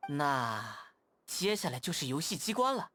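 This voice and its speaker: An actor voicing a friendly, playful young scout in a game's dubbed voice lines. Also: male voice, on the high side, big pitch swings, moderate pace, bright, forceful tone, a little hiss or hum. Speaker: game character. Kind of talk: game voice lines.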